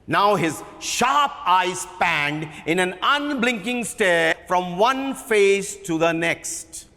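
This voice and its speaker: A man with an Indian accent giving a dramatic reading of literary narration, with theatrical, deliberate phrasing. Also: high-pitched, expressive, bright, forceful tone. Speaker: theatre performer reading from a book. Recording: reverberant hall.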